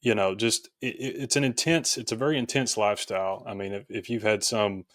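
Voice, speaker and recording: Male podcast guest from the US, lower register, dry recording, reflective and halting conversational delivery. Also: fairly even intonation, a bit bright, no echo. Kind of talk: conversational interview.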